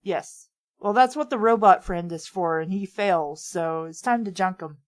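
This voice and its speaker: A woman's voice: fairly low pitch, moderate pace. A woman in casual, incredulous banter while reading a story aloud. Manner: incredulous, swearing, breaks into laughs. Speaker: host of a comedy reading show. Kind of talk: casual chat and read-aloud commentary.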